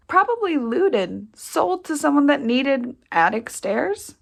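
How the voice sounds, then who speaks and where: A woman's voice: high-pitched, big pitch swings, slow pace, vocal fry, heavily acted. A woman acting a practical, matter-of-fact sister of few words in an audio play. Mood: dry, amused. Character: practical, matter-of-fact sister helping search a derelict family home. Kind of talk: naturalistic audio play dialogue.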